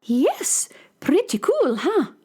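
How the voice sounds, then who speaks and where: A woman's voice: high-pitched, huge pitch swings, slow, darkish tone, heavily acted. A woman playing a small, bubbly female fairy with a sing-song delivery in a children's audio drama. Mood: chirpy, friendly. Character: small chirpy female fairy calling for help.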